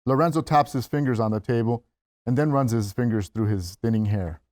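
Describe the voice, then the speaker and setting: A man's voice: mid-range voice, fairly quick, darkish tone, no echo. A man reading stage directions as narrator at a script table read, in a scripted narration style.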